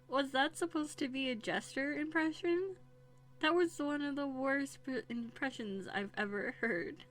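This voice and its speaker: A woman playing a young runaway princess in a roleplay audio drama, bookish and quietly bitter, talking earnestly and hesitantly into a close microphone. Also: high voice, slow. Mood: playful, teasing. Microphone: close USB condenser microphone.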